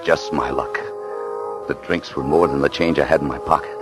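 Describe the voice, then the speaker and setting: A man's voice: rumbling low, fairly even intonation, moderate pace. An actor playing a nervous, stammering American husband on the run, confessing his story in a mid-century radio thriller. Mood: grim, tense. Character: panicky, down-on-his-luck husband on the run, narrating his crime. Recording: vintage radio recording with music behind.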